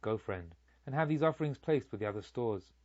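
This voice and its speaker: A man voicing a serene, authoritative Buddha who teaches in solemn, deliberate storybook dialogue. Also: medium pitch, animated, darkish tone, dry recording, dull audio, lightly acted. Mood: calm, gracious. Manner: solemn, deliberate, rhetorical preaching.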